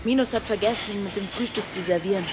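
A woman's voice: mid-range voice, quick pace, very noisy, close to an everyday voice. A woman playing a flirty, busy member of a yacht crew in an audio drama. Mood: brisk, polite.

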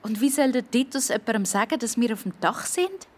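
A woman's voice: fairly high pitch, lively intonation, fairly quick. A woman with a Swiss accent narrating a scripted audio story for children.

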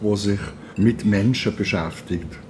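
A man from Switzerland speaks in a very low voice. He reminisces casually, as an interviewer in an easy conversation. Plates and cutlery clatter clearly around him. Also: a little slow, darkish tone, a little hiss or hum. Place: at a table with food being served.

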